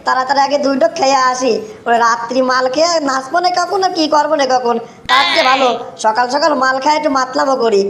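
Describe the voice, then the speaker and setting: A man plays a rowdy young drunkard from a comic cartoon, very high and squeaky, rattling along fast in a Bogura accent. His slangy, sing-song delivery comes through an echoey, noisy recording. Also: fairly bright tone. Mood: boisterous. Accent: Bogura, northern Bangladesh. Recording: roomy echo, clearly noisy track.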